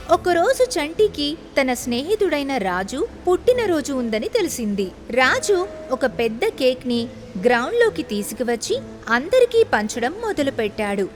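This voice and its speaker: Woman, children's story narrator, very high pitch, lively intonation, scripted delivery, faint music.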